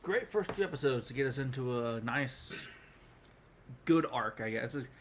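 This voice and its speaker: A man's voice: mid-range voice, expressive, normal speed, slight background noise. A man co-hosting a podcast in an informal, chatty style, acting out reactions as he talks. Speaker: podcast co-host. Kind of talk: casual chat about anime.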